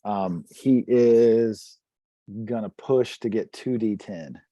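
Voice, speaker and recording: A man's voice: on the low side, slow pace, dark tone, no echo. A man bantering casually as a player in a tabletop game.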